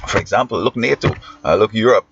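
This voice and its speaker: A man's voice: fairly low pitch, quick pace, creaky, a bit bright, slightly rough. A man from Guyana with a Guyanese accent, a schoolteacher giving rambling, preachy commentary that often trails off mid-sentence. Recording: muffled lo-fi with faint hiss.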